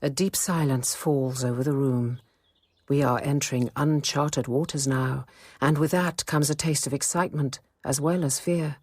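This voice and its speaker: An actress playing a wry, sceptical nun who narrates a radio drama in a measured, intimate first-person manner. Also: female voice, extremely low pitch, fairly even intonation, slightly rough, no echo. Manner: measured, intimate, quietly dry.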